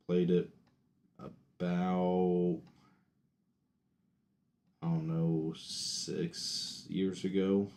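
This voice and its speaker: A man's voice: sits low, vocal fry, mellow. A young man streaming a game, chatting casually and thinking aloud with fillers and restarts. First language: English. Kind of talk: casual gaming stream chat. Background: faint music and keyboard typing.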